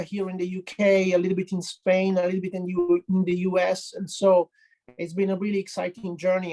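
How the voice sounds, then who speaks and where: An Italian-accented man shares an informal testimonial on an online call. His voice is fairly high and creaky, with a narrow range of pitch, and he hesitates as he searches for words. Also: a little mellow. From Italy. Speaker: guest giving a testimonial, health professional.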